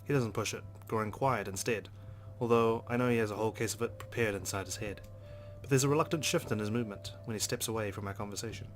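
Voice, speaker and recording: A man's voice: on the low side, fast talker, soft background music. A young man hosting a let's play, reading game narration aloud with casual reactions.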